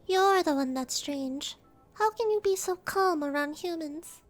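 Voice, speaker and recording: A snooty little yokai girl, super high voice, curt and dismissive, faint music under it. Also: expressive, measured pace. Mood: cool, matter-of-fact. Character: haughty, disdainful young yokai girl. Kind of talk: radio drama acting.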